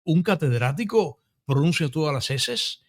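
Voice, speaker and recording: A Cuban man in his fifties with a Cuban accent, hosting a podcast interview and telling stories in a lively, chatty way full of asides. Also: fairly high pitch, measured pace, a crowd in the background. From Cuba. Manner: animated storyteller, full of restarts and asides. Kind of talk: casual conversational interview.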